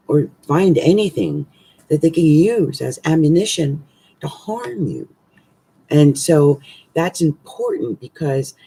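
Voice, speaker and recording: A middle-aged woman astrologer with a hoarse voice, giving a casual forecast from a small room at home into a webcam, rambling with many fillers and restarts. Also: sits low, warm tone. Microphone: webcam at desk.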